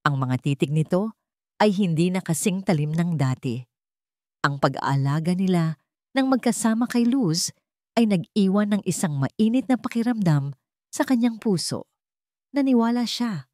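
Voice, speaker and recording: A woman's voice: lowish voice, lively intonation, moderate pace, long pauses, a little mellow, dry recording, clean studio sound. A woman narrator reading a dramatic scripted love story. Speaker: narrator of Tagalog love stories.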